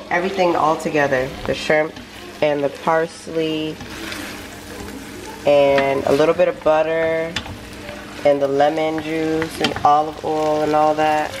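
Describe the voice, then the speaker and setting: A young adult woman talking casually through her cooking in a home kitchen, picked up by a camera a few feet away. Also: deep voice. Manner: informal, talking while busy cooking. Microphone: camera mic a few feet away. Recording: roomy, noisy kitchen camera recording.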